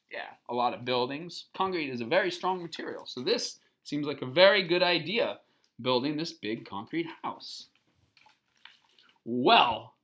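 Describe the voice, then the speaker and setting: Slow, bright-voiced picture book reader. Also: mid-range voice. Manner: expressive, explains words simply with check-in questions. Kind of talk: children's read-aloud with explanatory asides.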